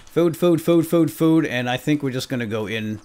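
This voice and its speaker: A man hosting gameplay with excitable role-play commentary, playful and given to exclamations and quick bursts of repeated words. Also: medium pitch, clean tone. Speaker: gaming video host.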